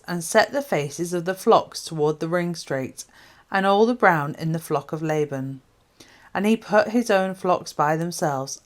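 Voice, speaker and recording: A woman reading scripture aloud from a script, in formal, measured short phrases. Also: deep voice. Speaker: Bible reader.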